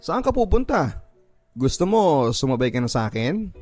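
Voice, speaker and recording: A man's voice: fairly high pitch, sing-song, moderate pace, a little mellow, no echo, voice pushed up. Radio drama role: young male boss acted by a male narrator, easygoing and assured, drops into English, music underneath. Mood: friendly, inviting. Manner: easygoing, casual, mixes in English. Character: friendly, confident young male company boss in his early twenties.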